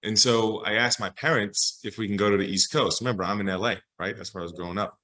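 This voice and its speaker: A man with an American accent and a fairly low voice talks in an interview. He explains things like a storyteller who knows history, in an informal, conversational way, often checking that the listener follows. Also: fairly even intonation, quick pace, sharp tone. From Los Angeles, United States. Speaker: public historian, interview guest.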